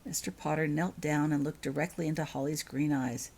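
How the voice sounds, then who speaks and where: A woman narrating fiction as an author reading aloud, in an expressive storybook style. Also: low-pitched, brisk, breathy edge.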